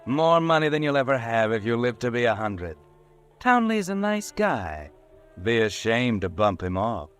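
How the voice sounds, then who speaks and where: A man's voice: mid-range voice, very animated, normal speed, fairly bright tone, clean tone, faint music behind. A man playing a mocking, ominous narrator who goads the listener in the second person, in a 1940s radio drama. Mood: taunting. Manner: taunting, goading, second-person.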